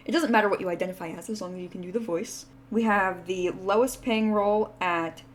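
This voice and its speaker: A woman's voice: lowish voice, slight background noise. A woman VTuber announcing voice acting auditions for her indie game, reading the terms in a casual way with lots of "like" fillers. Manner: casual, filler-heavy, reads terms out quickly. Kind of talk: casting call announcement.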